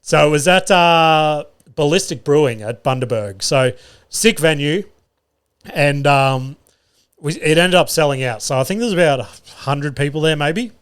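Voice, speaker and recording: A man's voice: fairly high pitch, moderate pace, clean tone. A young man hosting his solo podcast, telling comedy-gig stories in a loose, sweary way and laughing at his own jokes. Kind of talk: casual solo podcast storytelling. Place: studio.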